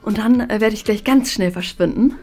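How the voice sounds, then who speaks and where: A woman's voice: medium pitch, a little fast, darkish tone, faint music behind. A young woman chatting casually to camera as a vlog host indoors. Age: young adult. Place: indoors, small craft studio and office rooms. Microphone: handheld vlog camera at arm's length.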